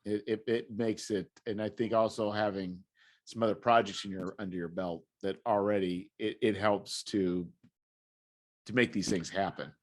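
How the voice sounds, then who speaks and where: A man hosting a podcast in casual conversation, talking haltingly and often restarting his phrases. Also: fairly low pitch, fairly even intonation. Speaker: podcast host.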